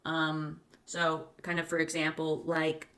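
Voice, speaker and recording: Bright-voiced woman asking questions. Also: low-pitched, monotone, takes it slowly. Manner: hesitant, many fillers and restarts when asking. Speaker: interviewer, host. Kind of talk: casual interview questions.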